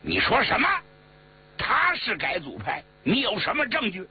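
A man voicing a blunt, furious army commander in a loud, demanding, indignant way within a told story. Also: sits high, wildly swooping pitch, normal speed, husky, voice pushed up, heavily acted. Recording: muffled radio-like recording with mains hum.

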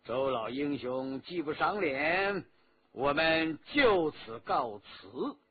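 A man voicing a cunning, smug government agent in a told martial-arts story, husky and deliberate as he makes veiled threats. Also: a little high, sing-song, voice pushed down, heavily acted. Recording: dull, lo-fi audio.

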